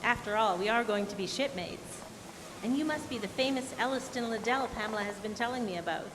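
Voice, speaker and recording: Lady acting a gushing proper old-time radio matron, highish voice, noisy stage recording. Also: a little fast, natural voice. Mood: effusive, welcoming. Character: sociable, proud Icelandic-Canadian married lady.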